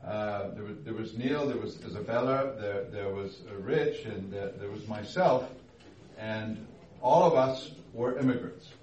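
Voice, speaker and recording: Low-voiced, halting man hosting an interview. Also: darkish tone. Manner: halting, full of fillers and restarts. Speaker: host, interviewer. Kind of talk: casual interview. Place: church hall. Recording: echoey room, distant mic, faint noise.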